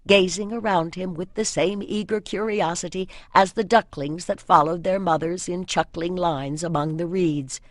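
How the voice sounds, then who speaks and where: A woman reading scripted fantasy fiction as an audiobook narrator, with measured literary storytelling. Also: lowish voice, dry recording, clean studio sound.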